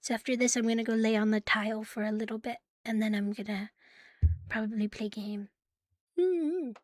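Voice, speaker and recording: A woman livestreaming ASMR in a playful, cutesy catgirl manner, soft and breathy, often whispering, close to the microphone. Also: fairly high pitch, normal speed, dry recording. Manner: playful, cutesy catgirl persona, with giggles and purring. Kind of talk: ASMR, intimate chat to live listeners.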